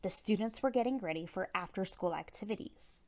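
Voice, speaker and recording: A woman narrates a children's picture book at storytime. Her mid-pitched voice moves up and down a lot, with animated emphasis on the dramatic turns. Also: fairly quick. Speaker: storytime reader.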